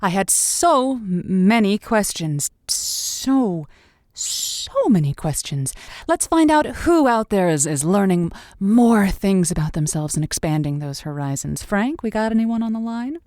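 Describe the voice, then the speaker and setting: A woman's voice: mid-range voice, sing-song, unhurried, a little mellow, no echo, studio quality. A woman playing a curious, encouraging radio call-in host in an audio drama, chatting naturally with a slightly hesitant, sympathetic manner. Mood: eager, curious.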